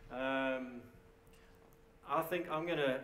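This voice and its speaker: Man presenting at a conference, halting with lots of fillers, echoey hall, mic a bit far off. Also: medium pitch, fairly even intonation, a bit bright, a little hiss or hum. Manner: halting, many fillers and restarts. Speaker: company presenter.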